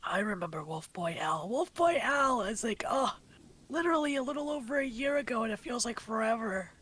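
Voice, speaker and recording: A woman's voice: fairly high pitch, expressive, a little fast, a bit bright, slight background noise. A woman chatting informally as a livestream co-host, tending to repeat her phrases. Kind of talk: casual livestream chat.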